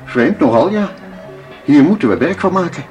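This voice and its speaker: A deferential museum attendant in a radio play, easily alarmed. His intonation is very lively, in a slightly dull recording over music. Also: a little high, normal speed. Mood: astonished, alarmed. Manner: deferential, repeating. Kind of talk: radio drama acting.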